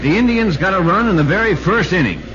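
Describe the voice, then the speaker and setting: A man's voice: high-pitched, expressive, quick pace. A man acting an earnest, insistent baseball reporter in 1940s American radio drama style. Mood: dramatic storytelling. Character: earnest, urgent male baseball reporter telling his story. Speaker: narrator and actor in a radio drama. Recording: muffled, noisy vintage radio recording.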